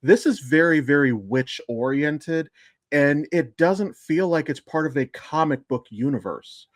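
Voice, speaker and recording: A man's voice: a little high, lively intonation, measured pace, no room sound, clean studio sound. A man co-hosting a podcast with casual, emphatic commentary on shows and films, explaining at length and swearing freely. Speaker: podcast co-host.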